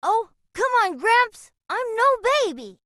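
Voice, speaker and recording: A woman's voice: very high voice, very animated, slow, sharp tone, no room sound, clean studio sound, exaggerated voice. A woman voicing a cocky, skeptical young boy who argues in an emphatic, scornful way.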